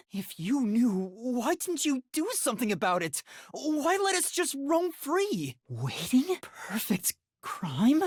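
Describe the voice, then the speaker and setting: Casting: young trainee detective for a video game, earnest and anguished, breathy boyish tone, highly animated intonation, dry studio sound. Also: male voice, no echo, full character voice. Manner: earnest, emotional, hesitant. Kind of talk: dramatic English video game voice acting.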